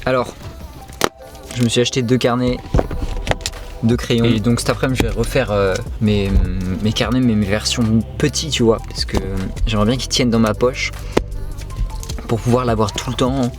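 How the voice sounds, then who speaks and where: A young French man vlogging to camera, chatty and relaxed, stumbling over words now and then. His pitch sits in the middle range. Soft music plays faintly behind him. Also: moderate pace, long pauses. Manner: relaxed, chatty, many fillers and restarts. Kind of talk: casual vlog narration to camera.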